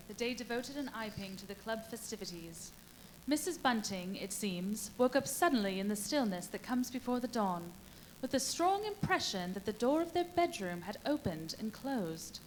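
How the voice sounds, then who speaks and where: A woman playing the composed narrator of a radio play, giving measured, formal scripted storytelling. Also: on the high side, lightly acted. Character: composed female narrator of an eerie village tale. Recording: faintly noisy live stage recording.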